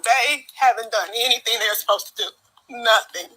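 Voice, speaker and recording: High, piercing, distraught woman's voice. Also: moderate pace, sharp tone, husky. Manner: emphatic, repeats herself insistently. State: upset, tearful. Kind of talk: distraught, unscripted spoken account.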